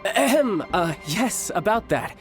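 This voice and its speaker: An actor playing a suave, cocky young fighter and doting older brother in a video game, smooth and playfully formal. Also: male voice, high voice, big pitch swings, measured pace. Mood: suave, discreet. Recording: music playing behind the voice.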